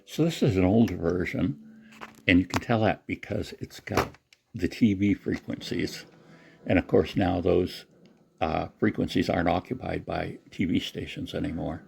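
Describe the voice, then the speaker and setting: A man's voice: low voice, normal speed, creaky, darkish tone, a little hiss or hum. A man giving a casual, unscripted product demonstration in a rambling, matter-of-fact way. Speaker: presenter showing a secondhand gadget.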